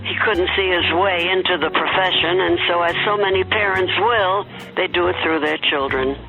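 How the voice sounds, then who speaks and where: An older woman from Baltimore recalling her childhood in a reflective, unhurried archival interview. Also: on the low side, phone-quality sound, music in the background. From Baltimore, USA.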